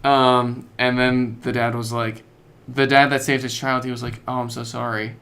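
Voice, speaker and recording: Casual young-sounding man with creaky voice. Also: mid-range voice, level tone, normal speed, fairly bright tone. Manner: slangy, informal storytelling. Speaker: podcast co-host. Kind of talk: casual chat about video games.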